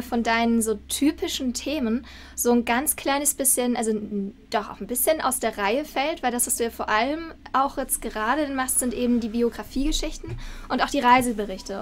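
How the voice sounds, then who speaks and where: Higher-voiced woman interviewing on a call. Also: brisk, slight background noise. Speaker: interviewer, academic host. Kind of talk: conversational interviewing. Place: book-lined room, indoors.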